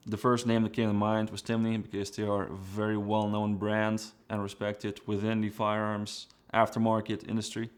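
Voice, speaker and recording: Lower-voiced Belgian-born man over guitar music. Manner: relaxed, reflective, with frequent fillers and restarts. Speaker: trigger design engineer. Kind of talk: documentary-style personal narration. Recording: music bed underneath.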